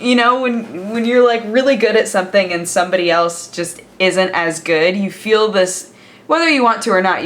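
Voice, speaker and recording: A woman's voice: mid-range voice, a bit bright. A young woman from the United States chatting casually to camera in a daily vlog, rambling with lots of fillers and laughs. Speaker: vlogger. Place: home, sitting with coffee.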